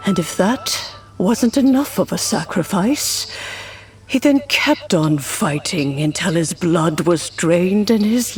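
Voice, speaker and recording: A woman voicing a kindly older woman in a video game, who tells an old legend in a slow, soft, reflective way. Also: lowish voice. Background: game music.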